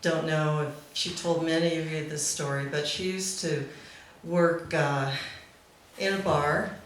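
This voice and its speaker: A woman tells an informal, amused story to a gathering at an unhurried pace. Her middle-pitched voice rings in a very echoey room, with faint noise behind it. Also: fairly even intonation. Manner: amused, often laughing as she talks. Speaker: speaker at a memorial celebration. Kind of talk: informal anecdote told to a gathering. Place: back room at a live gathering.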